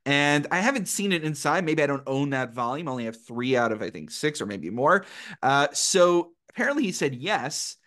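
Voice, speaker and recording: A man from New York talks as a podcast host in a voice pitched a little above average. His delivery is informal and conversational, with self-deprecating asides and digressions. He mixes scholarly religious vocabulary into casual phrasing. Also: sing-song, moderate pace, fairly bright tone. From New York, United States.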